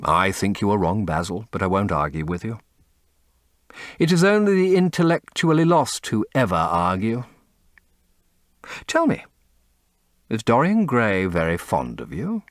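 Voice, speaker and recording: Man as witty male aristocrat, languid, urbane, cynical, audiobook character, lively intonation, dry recording. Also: lowish voice, unhurried, pauses often, no echo. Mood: breezy, teasing. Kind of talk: dramatic audiobook character acting.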